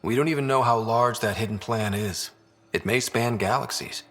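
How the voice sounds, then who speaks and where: A man's voice: medium pitch, normal speed, lightly acted. A man playing an earnest, frank space explorer in a video game, speaking directly and conversationally. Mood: engaged. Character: earnest, frank young male space explorer leading a crew. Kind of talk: video game dialogue acting. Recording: music under the voice.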